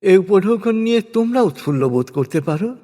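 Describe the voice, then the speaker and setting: A man reading a scripted scripture translation in a measured, solemn, deliberate manner. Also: high-pitched, animated, warm tone. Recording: long hall reverb on voice.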